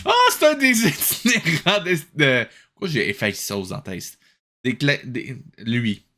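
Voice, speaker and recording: A man's voice: mid-range voice, sing-song, measured pace, bright, forceful tone, soft background music. A man with a Québécois accent hosting a reaction show, giving casual, incredulous and colloquial running commentary.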